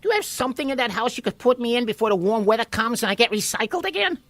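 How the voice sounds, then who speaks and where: A man's voice: very high-pitched, big pitch swings, rapid-fire, a bit bright, no echo, full character voice. A man playing a restless, self-important little water molecule in a children's radio play, complaining and urging. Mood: eager, scheming. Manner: eager, complaining, urgent.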